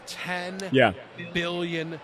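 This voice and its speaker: A man's voice: high voice, monotone, drawn-out words, a crowd in the background. A man co-hosting a casual business podcast, talking emphatically and laughing often. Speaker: podcast co-host. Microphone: cheap soundboard.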